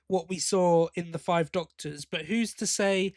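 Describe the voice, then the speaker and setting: A high-voiced man talks casually as a podcast co-host. He sounds enthusiastic and appreciative, and the recording is close and dry. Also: unhurried, no echo. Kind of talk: casual fan discussion.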